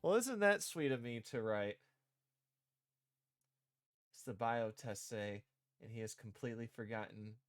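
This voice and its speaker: A man casually streaming as he works on a game script, reading lines aloud and rewording them in a halting way. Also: mid-range voice, no echo, studio quality. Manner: halting, thinking out loud, repeating and rewording lines. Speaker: streamer developing own visual novel.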